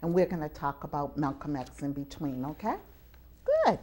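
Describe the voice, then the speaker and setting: A woman's voice: low voice, moderate pace, darkish tone. A woman hosting a show with children, speaking in an emphatic, teacherly way and coaxing them with questions. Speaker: TV show host leading students. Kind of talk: talk to children before an audience. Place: college venue, taped live with audience.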